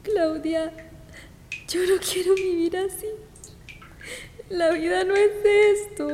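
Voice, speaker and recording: Radio drama role: frightened, anguished young female captive, very high voice, echoing room. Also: steady tone, takes it slowly, a little mellow. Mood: despairing. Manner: plaintive, anguished. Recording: reverberant, faint noise behind.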